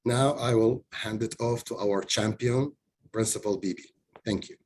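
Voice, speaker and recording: Man, coalition presenter, step-by-step talk, low voice. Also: steady tone, moderate pace, darkish tone, slight background noise. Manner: plain step-by-step explanation. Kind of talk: webinar presentation.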